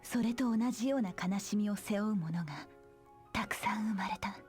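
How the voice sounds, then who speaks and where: A young soldier burdened by sorrow and vengeance. She speaks quickly in a grave, mid-pitched voice with hushed endings, in video game drama style, over faint music. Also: slightly rough. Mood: sorrowful, determined.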